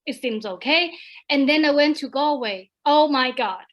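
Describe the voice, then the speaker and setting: A woman from China in her thirties talks in English, her second language, as an online panel guest. Her voice sits high, and she tells her stories with animation. She often stumbles and restarts mid-sentence. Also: moderate pace. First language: Chinese. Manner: animated storytelling, many repeated starts. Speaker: alumni guest speaker. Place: home, over a video call.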